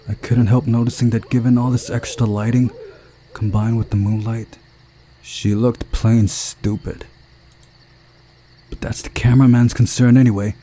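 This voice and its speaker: A man reading a visual novel aloud as a narrated story, giving the characters voices, in a relaxed, unhurried way. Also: on the low side, slight background noise.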